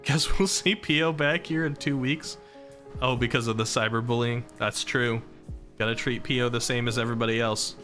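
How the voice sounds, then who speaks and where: A young man streaming live, chatting casually about himself with a rambling, self-deprecating manner, his voice starting to go hoarse from talking so long. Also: medium pitch, fairly even intonation, moderate pace, sharp tone, faint music behind. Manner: rambling and self-deprecating, reading chat and laughing.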